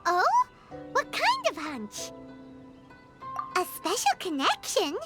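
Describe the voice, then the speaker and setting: Super high squeaky little fairy for a game, chirpy n indignant, swoops all over, music loud behind. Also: female voice, huge pitch swings, slow pace, exaggerated voice. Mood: thoughtful, urgent. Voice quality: squeaky, childlike. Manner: exclaims, refers to self in third person. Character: small childlike flying fairy sidekick, chirpy, indignant, excitable. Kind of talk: animated video game voice acting.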